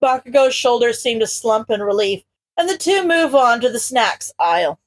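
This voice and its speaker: High-voiced woman narrating fiction. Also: lively intonation, moderate pace. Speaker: podfic narrator. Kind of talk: expressive scripted fiction narration.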